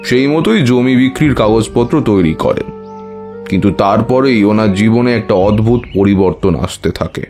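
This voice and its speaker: An actor playing a wise older tantric priest who recounts eerie memories in a measured way, with thoughtful hums, in an audio horror story. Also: male voice, lowish voice, a little mellow, faint music behind, lightly acted. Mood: reminiscing, measured.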